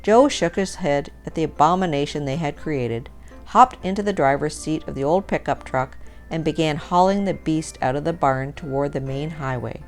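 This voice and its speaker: A woman with a low voice narrates a scripted, lighthearted story. She reads aloud as a storyteller, with music playing behind her. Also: normal speed.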